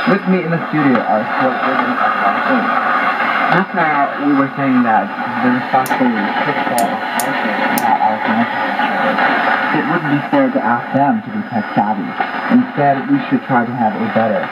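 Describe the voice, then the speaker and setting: Man on a very noisy shortwave broadcast. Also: mid-range voice. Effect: shortwave radio reception. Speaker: radio programme speaker. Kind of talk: radio discussion. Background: loud radio static and noise.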